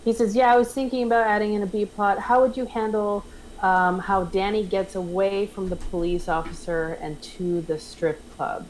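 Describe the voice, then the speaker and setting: A woman's voice: medium pitch, steady tone, moderate pace, darkish tone, slight background noise, poor audio. A woman in a casual group discussion, explaining things and moderating as a panel member. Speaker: panel member reading chat questions.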